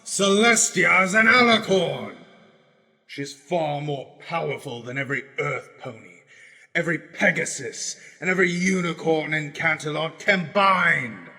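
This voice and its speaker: A man's voice: fairly high pitch, a little slow, sharp tone, heavily acted. Impassioned male pony demagogue, played by a man, echoing hall. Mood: accusing, fervent. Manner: impassioned, rhetorical oratory. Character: male pony demagogue rallying citizens against a tyrant ruler. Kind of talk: radio drama political speech. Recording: echoing hall with long reverb.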